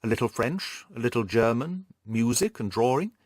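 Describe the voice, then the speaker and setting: Man reading as a polite, modest young governess, lively intonation. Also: mid-range voice, moderate pace, dry recording, studio quality, close to an everyday voice. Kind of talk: audiobook character voice.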